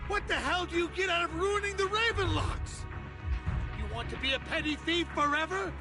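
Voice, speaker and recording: A man's voice: extremely high pitch, normal speed. An actor playing a venomous, outraged villainous uncle in a video game, indignantly spitting out his words. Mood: enraged. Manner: shouting, indignant, spitting insults. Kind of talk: dramatic video game voice acting. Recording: background music under voice.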